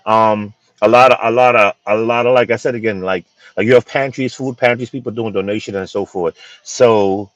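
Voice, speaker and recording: Halting low-voiced Bahamian-born man. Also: fairly even intonation, fairly bright tone, dry recording, dull audio. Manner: halting, repeats words, many fillers. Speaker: co-host. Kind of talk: casual podcast chat.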